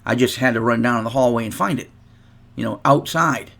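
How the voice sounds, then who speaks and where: A man with a gravelly, creaky voice at an ordinary middle pitch talks through an unboxing video. He tells a long, rambling anecdote full of asides and restarts, like a casual chat with viewers. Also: fairly quick, fairly bright tone. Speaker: guitar reviewer. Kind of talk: casual unboxing vlog storytelling.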